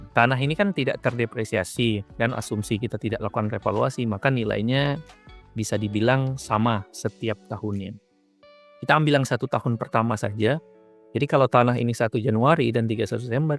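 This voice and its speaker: A man gives an informal tutorial at a middling pitch. He talks conversationally and walks through things step by step, like a teacher checking that learners follow. Guitar music plays behind his voice. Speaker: accounting teacher. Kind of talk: explanatory tutorial lecture, informal.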